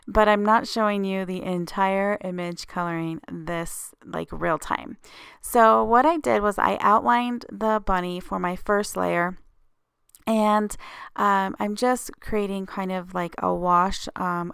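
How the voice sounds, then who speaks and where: A woman's voice: mid-range voice, gravelly, fairly bright tone, smooth, no room sound, studio quality. A woman with a slightly scratchy voice hosts a casual craft tutorial voice-over, explaining each step informally.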